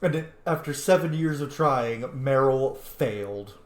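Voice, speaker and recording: A man hosts a game playthrough with casual, opinionated fan talk. His voice is mid-pitched and he chats freely, in a noticeably echoey room. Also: measured pace. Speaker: gaming video host.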